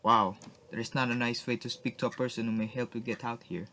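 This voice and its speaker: A man's voice: mid-range voice, little pitch movement, fairly quick, bright, forceful tone. Guy playing skeptical police inspector, dry n casual, asks questions, radio drama, bit of background noise. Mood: wry, curious.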